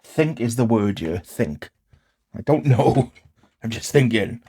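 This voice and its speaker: A man's voice: mid-range voice, animated, slow, warm tone, breathy edge. A man talking casually to himself in short, tentative phrases as he narrates hands-on repair work. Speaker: host of a DIY repair channel. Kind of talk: casual hands-on repair commentary, thinking aloud.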